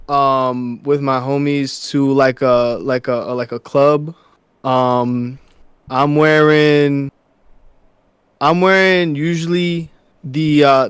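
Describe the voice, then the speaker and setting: A young man from New York, a musician guest in a casual interview, talking softly and haltingly with many fillers and restarts. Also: a little high, clean tone, no echo.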